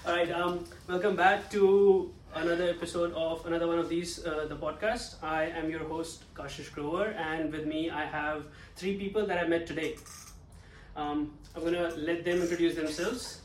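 A man hosting a podcast in a relaxed, casual way, swearing freely as he chats with guests. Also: a little high, normal speed. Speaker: podcast host. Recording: echoey room, distant mic, faint background noise.

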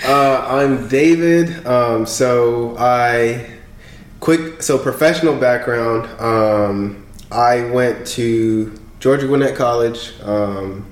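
A young man from Congo, a podcast guest, telling his story in casual, animated chat with frequent laughs. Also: medium pitch, slow. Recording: echoey room.

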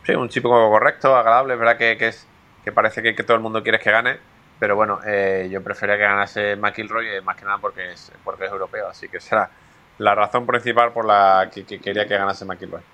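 A man from Spain speaks low and fairly flat, pausing often with fillers as he answers interview questions. His tone is bright and forward. He sounds like a golfer talking about his career. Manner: hesitant, many fillers and restarts. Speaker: professional golfer guest.

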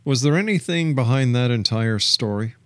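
A man hosting a radio interview, speaking politely in deliberate phrases. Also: mid-range voice, expressive, a bit bright, no echo. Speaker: radio show host and interviewer.

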